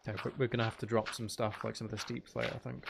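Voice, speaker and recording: A man's voice: mid-range voice, flat delivery, racing, creaky, slight background noise. A man giving casual, rambling gameplay commentary, thinking aloud as he plays. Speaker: gaming video creator playing Minecraft.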